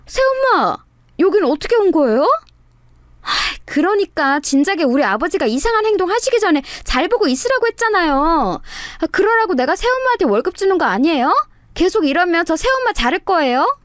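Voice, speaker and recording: A loud, scheming daughter-in-law, put on by a woman storyteller in an audio drama style. She scolds theatrically in a high, very animated voice, recorded dry and close. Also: a little fast, few pauses, no room sound. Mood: scolding.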